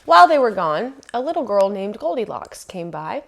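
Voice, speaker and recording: Expressive woman reading a children's story. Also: mid-range voice, normal speed, creaky. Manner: expressive, emphatic, lingering on phrases. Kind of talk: children's storybook read-aloud.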